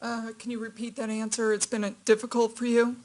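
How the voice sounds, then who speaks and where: Higher-voiced woman attorney, gently questioning. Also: flat delivery. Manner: measured, gentle questioning with uh fillers. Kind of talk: courtroom questioning. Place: courtroom.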